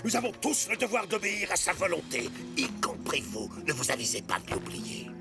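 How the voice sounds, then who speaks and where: A pompous military commander for a cartoon. The voice is gravelly and swings widely in pitch, contemptuous and commanding. Music plays behind it. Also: male voice, very animated, brisk, exaggerated voice. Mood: stern, commanding. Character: haughty, menacing military commander and tax enforcer. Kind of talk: animated cartoon acting.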